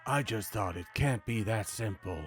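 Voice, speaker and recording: A man's voice: lowish voice, moderate pace, soft background music. A man practicing noir-style narration and musing to himself in a dry manner while playing a game. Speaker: video creator practicing a character voice.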